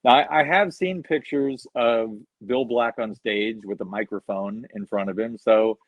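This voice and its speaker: A man's voice: lowish voice, a little slow, dry recording. A man guest on a video-call interview, telling rambling anecdotes full of "you know". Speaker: guest musician. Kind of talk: relaxed storytelling interview. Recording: slightly dull lo-fi sound, dry.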